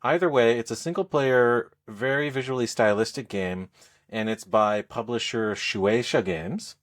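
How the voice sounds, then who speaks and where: A man speaking as a podcast co-host, explaining things in a casual, halting, conversational review style. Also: mid-range voice. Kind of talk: casual game review chat.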